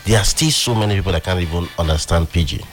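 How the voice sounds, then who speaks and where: A man's voice: low-pitched, fast talker, loud music behind the voice. A man with a Nigerian accent, speaking on a studio panel and explaining his view at length. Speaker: panelist on a talk show.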